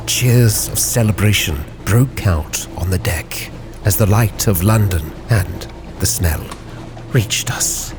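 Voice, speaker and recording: A man with a fairly low voice narrates a sea captain's log as a dramatic story. He speaks very slowly and solemnly, with every phrase measured, while music plays clearly behind him. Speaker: storyteller, roleplay channel host.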